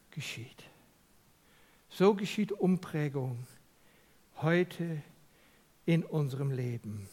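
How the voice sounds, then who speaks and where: A man from the Netherlands with a Dutch accent, preaching a sermon to a congregation. He speaks emphatically, asks his listeners questions, and drops from loud to a near whisper. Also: high-pitched.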